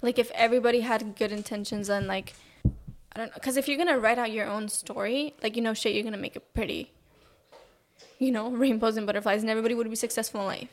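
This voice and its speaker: High-voiced young woman arguing casually. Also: steady tone, fast. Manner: argumentative, swears, laughs a lot. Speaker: podcast host. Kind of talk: casual chat.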